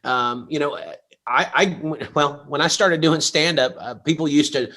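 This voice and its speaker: A middle-aged man hosting a comedy livestream, chatting in a rambling, excitable way with lots of stammered restarts. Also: on the high side, unhurried. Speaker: comedy show host and tequila promoter. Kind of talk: casual banter on a livestream.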